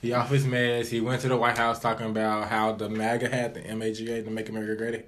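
A man's voice: fairly low pitch, completely flat delivery, quick pace, fairly bright tone. A man co-hosting a podcast in casual, slangy, sometimes profane conversation. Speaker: podcast co-host.

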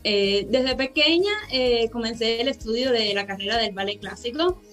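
A woman in her twenties from Cuba, a guest telling her life story in a radio interview, earnest and unhurried with many fillers. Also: fairly high pitch, fairly bright tone, faint music behind. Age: 20s. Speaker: interview guest, pageant winner and ballet dancer.

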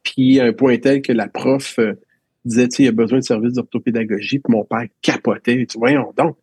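A man in his thirties with a Quebec French accent tells stories from his school years in a relaxed, reflective way, often circling back and restarting his sentences. His voice is mellow and slightly husky. It sounds close and dry, with no room echo. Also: mid-range voice, a little fast. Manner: reflective, storytelling with many restarts. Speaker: teacher and interview guest. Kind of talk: casual interview conversation.

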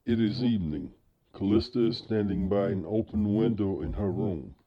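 Lower-pitched male audio drama narrator, slightly dull sound. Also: normal speed. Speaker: narrator and announcer.

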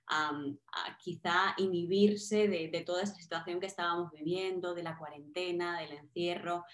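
Interviewer woman in a roomy space. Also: on the low side. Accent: slight Cuban. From Cuba. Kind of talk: casual interview talk.

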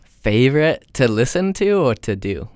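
A man's voice: mid-range voice, big pitch swings, moderate pace, darkish tone, no room sound, studio quality. A young man chatting casually with a friend, laid-back, swearing freely and laughing often. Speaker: friend in conversation. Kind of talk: casual chat.

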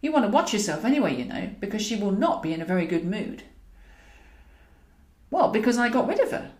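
An elderly scheming woman, put on by a woman narrator for an audiobook. She is bossy and sardonic, with a lively, swinging pitch. The room rings a little around her. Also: brisk, echoey room, close to an everyday voice. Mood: brisk scheming. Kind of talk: character dialogue in an audiobook.

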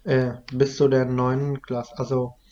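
Young man as interviewee, halting and earnest, mid-pitched, a little lo-fi. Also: completely flat delivery, dark tone. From Iraq. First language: Kurdish. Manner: hesitant, many restarts and fillers. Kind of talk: casual conversation.

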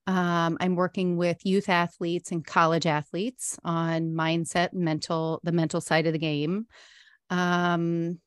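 A woman's voice: fairly low pitch, level tone, slow, smooth, dry recording, studio quality. A middle-aged woman, a meditation instructor, talking earnestly as a podcast guest with frequent ums. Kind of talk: casual interview chat.

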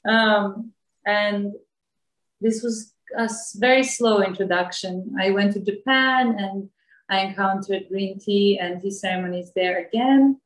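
Woman from Bosnia, thirties, English, very roomy echo, medium pitch, reflective interview talk. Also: unhurried. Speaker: interview guest. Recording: echoey room sound.

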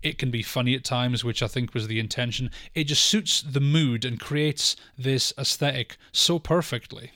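A man's voice: mid-range voice, moderate pace, hardly any pauses, a bit bright, no echo, studio quality. A man co-hosting a film podcast, speaking in a casual, conversational discussion style.

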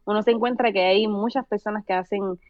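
A young adult woman from Puerto Rico with a Puerto Rican accent, a podcast guest telling stories in relaxed chat, mixing Spanish and English. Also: medium pitch, fairly quick, dull audio, crowd noise. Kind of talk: casual interview chat.

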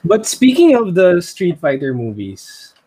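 A man's voice: high voice, wildly swooping pitch, unhurried, darkish tone. A man with a Filipino accent speaking casually as the co-host of a discussion podcast. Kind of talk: casual movie discussion chat.

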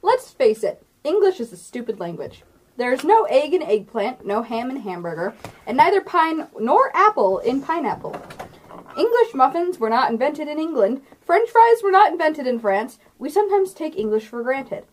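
A woman's voice: sits high, big pitch swings, moderate pace, a little hiss or hum, poor audio. A giggly, playful young woman talking casually as game master on a tabletop game podcast among friends.

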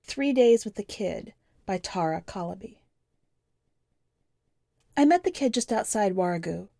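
Measured woman narrating fiction, dry recording. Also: medium pitch, animated, creaky, mellow, dry recording. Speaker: podcast host and story narrator. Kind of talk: scripted first-person fiction narration.

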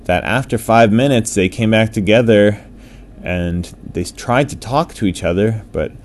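A man's voice: lowish voice, moderate pace. A man telling an improvised children's bedtime story in simple, repetitive phrases, with faint noise behind him.

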